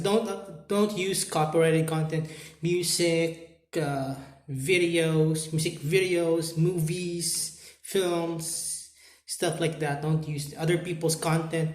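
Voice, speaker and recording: Man, Filipino accent, slightly high voice, halting and repetitive, lots of fillers, echoey room. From Philippines. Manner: halting, repeats words, many fillers. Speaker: YouTuber. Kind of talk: unscripted talk to camera.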